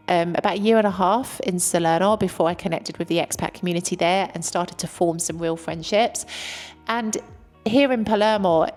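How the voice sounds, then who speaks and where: A woman's voice: medium pitch, a little mellow. A British woman talking earnestly to camera as a vlogger, speaking candidly in long, flowing sentences. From Britain. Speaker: vlogger living abroad. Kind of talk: casual talk to camera. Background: faint background music.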